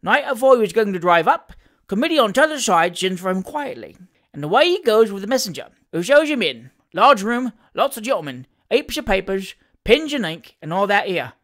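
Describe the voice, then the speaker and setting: Breezy Cockney servant for a storybook, played by a man, cheeky and emphatic, with lively swings in pitch. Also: fairly quick. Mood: chatty storytelling. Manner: emphatic, colloquial.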